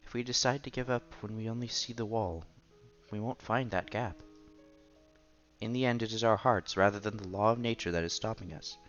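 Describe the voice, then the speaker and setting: A man's voice: fairly low pitch, a little fast, a bit bright, dull audio. A man streaming a visual novel, reading its narration and dialogue aloud expressively. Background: game music.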